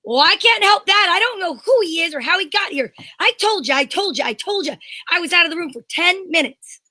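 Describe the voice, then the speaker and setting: A woman's voice: very high voice, expressive, fairly quick, sharp tone, full character voice. A woman playing a defensive, indignant female murder suspect, flustered and exclamatory, in old-time radio mystery style.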